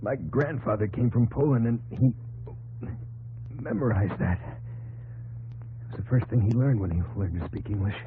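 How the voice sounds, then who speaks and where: Earnest young American soldier, halting plain speech, narrow old radio recording, faint hum, 1950s drama style. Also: male voice, lowish voice. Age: young adult.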